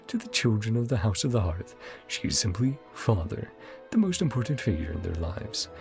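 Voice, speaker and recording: Animated, creaky-voiced male game streamer. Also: lowish voice. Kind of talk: casual stream commentary and reading game text aloud. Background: faint game music.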